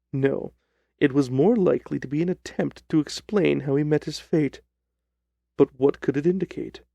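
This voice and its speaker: A man narrating a first-person story from a script in a measured, literary delivery. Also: mid-range voice, gravelly, warm tone, no room sound. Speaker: audiobook narrator.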